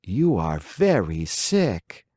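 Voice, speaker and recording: A patient, motherly fairy, as a storybook reader puts her on, speaking gently and unhurriedly. Her voice is a little raised and moves freely, heard close and dry. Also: low voice, huge pitch swings, drawn-out words, no room sound, heavily acted. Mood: coaxing. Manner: gentle, coaxing and firm.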